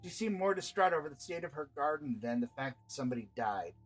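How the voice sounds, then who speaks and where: Higher-voiced man narrating over soft music. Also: animated, fast. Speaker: narrator and game host. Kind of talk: dramatic reading of fantasy narration.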